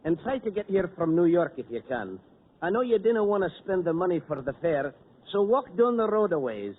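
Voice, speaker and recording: A man's voice: sits high, very animated, fairly quick. An actor playing a proud, thrifty Scotsman with a put-on Scottish accent, in a 1940s radio comedy. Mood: earnest. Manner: stiff and proud. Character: Scotsman Jock McGregor, fussy and thrifty. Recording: tinny 1940s radio broadcast with hiss.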